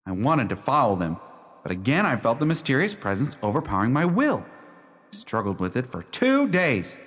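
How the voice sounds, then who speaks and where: An actor playing an earnest, lovestruck young gentleman, telling his story formally in a radio drama. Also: medium pitch, sing-song, moderate pace. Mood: uneasy. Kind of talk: radio drama narration, first person. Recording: long hall reverb.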